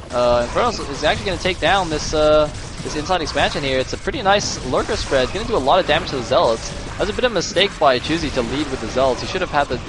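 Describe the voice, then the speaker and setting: A hoarse young man doing solo play-by-play commentary on a game, chatty and rambling, jumping into excited bursts. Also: medium pitch. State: losing his voice, a little sick. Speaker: esports caster. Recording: music playing underneath.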